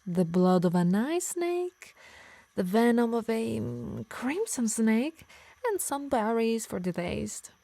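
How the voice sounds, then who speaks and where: Woman acting a soft, possessive yandere harpy, intimately close. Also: on the high side, sing-song, no room sound, full character voice. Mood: smug, menacing. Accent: Italian. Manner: soft and breathy, slipping into whispers, slow menacing emphasis. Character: possessive yandere harpy woman, tender yet menacing, obsessed. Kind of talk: intimate ASMR roleplay acting.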